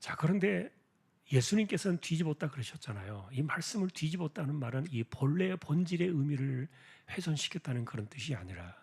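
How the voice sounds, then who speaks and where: A man preaching an expository sermon as a pastor, explaining deliberately with rhetorical questions and a husky voice. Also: a little high, very animated, a little mellow. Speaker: pastor preaching at a church prayer meeting.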